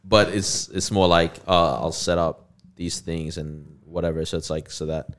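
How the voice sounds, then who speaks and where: A man's voice: deep voice, steady tone, moderate pace, slight background noise. A young man co-hosting a casual podcast chat, making dry, teasing remarks. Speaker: podcast co-host.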